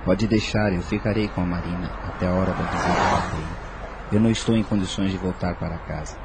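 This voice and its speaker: A man's voice: low-pitched, steady tone, a little fast, very noisy. A man with a Brazilian accent playing a guilt-ridden, tender and troubled man in a radio soap. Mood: apologetic. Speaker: radio drama actor.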